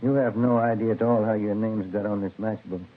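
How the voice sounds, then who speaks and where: A plainspoken American police detective played by a male actor talks in a fairly low, casual, methodical way. The recording is vintage radio drama, thin and phone-like. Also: fairly even intonation, a little fast, lightly acted. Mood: plain, inquiring. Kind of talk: 1950s radio crime drama acting.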